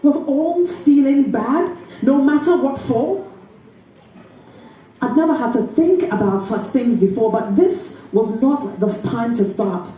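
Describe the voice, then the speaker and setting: A woman actor giving an expressive, deliberate dramatic reading from a novel. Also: sits high, recorded from a distance. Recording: very echoey room, faint noise.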